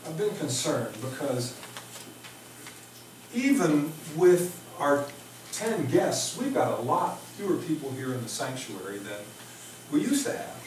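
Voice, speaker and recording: Middle-aged American man as a pastor: unhurried, reflective delivery with wide pitch swings, speaking informally to a congregation. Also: very animated, darkish tone. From Oklahoma, United States. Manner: halting, reflective, with repeated words and emphatic pauses. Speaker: pastor preaching. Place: small church sanctuary, indoors. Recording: echoey church, distant microphone, faint noise.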